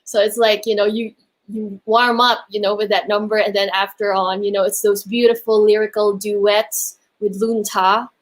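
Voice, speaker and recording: A woman's voice: mid-range voice, flat delivery, moderate pace, rough recording. A woman from the Philippines and Hong Kong, a musical theatre performer, chatting casually and appreciatively as an interview guest.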